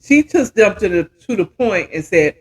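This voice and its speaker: A woman's voice: medium pitch, big pitch swings, normal speed, darkish tone, rough recording. A woman in her fifties telling a story casually as a podcast host, chatty and emphatic, often checking in with the listener. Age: 50s.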